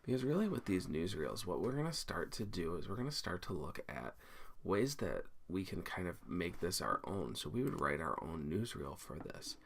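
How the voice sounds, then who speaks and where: A man with a very low, creaky voice teaches a software task in a casual screencast style. His pitch moves around a lot as he thinks aloud, informally explaining one step after another. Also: sing-song, normal speed, a little hiss or hum. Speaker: teacher giving a software tutorial. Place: indoors at a computer, screen recording.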